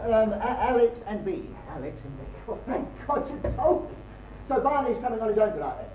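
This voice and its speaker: A man's voice: high voice, big pitch swings, brisk, dark tone. A man acting a flustered, forgetful middle-class British husband in an amateur stage comedy, stammering and repeating himself in agitation. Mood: baffled, insistent. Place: theatre stage, recorded from afar. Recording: distant, echoey stage recording with hiss.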